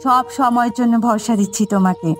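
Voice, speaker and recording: Cartoon village wife, voiced by a woman: high, fussing, worried, music behind. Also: fairly quick, close to an everyday voice. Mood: worried, reassuring. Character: worried, scolding yet reassuring village wife. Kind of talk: amateur cartoon dubbing.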